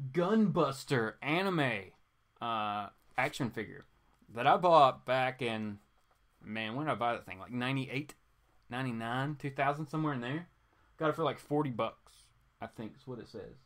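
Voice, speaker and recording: A man hosting a casual livestream, chatting to viewers in a rambling, animated, geeky way. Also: mid-range voice, moderate pace. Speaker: host of a comics reading show.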